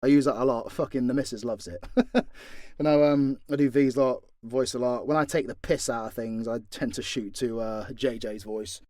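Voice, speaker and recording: A man's voice: mid-range voice, fairly even intonation, normal speed, warm tone, no room sound, studio quality. A British man answering fan questions in a casual, unscripted way, blunt and joking, with plenty of swearing. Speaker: voice actor and content creator answering fan questions.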